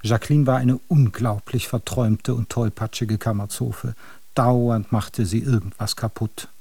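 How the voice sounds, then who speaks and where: An actor playing a storyteller, narrating an absurd fairy tale in a radio play with a wry, measured manner. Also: male voice, medium pitch, steady tone, gravelly, dark tone, breathy edge, no echo. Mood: wry storytelling.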